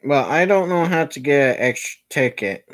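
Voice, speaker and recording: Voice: medium pitch, expressive, moderate pace. A game streamer giving casual, self-directed commentary while playing, muttering and often swearing. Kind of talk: casual livestream gameplay commentary.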